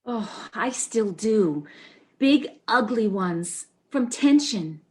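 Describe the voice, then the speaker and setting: A woman's voice: a little high, lively intonation, slow, darkish tone. A woman playing an anxious, sympathetic, easily overwrought neurotic wife in a stage comedy reading, fretful and emotional. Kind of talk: stage comedy readers theater.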